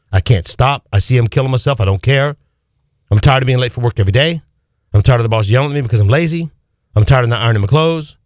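A man's voice: lowish voice, a little mellow, no room sound, clean studio sound. A man preaching an Easter sermon as pastor, pressing his points with swinging emphasis and repeated phrases.

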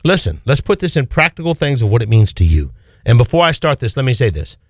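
A male pastor preaching with a lively voice that leaps up and drops low as he drives each point home. The sound is dry and close, with no room echo. His pitch sits in the middle for a man. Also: fast. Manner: preaching with animated, swinging emphasis. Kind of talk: sermon.

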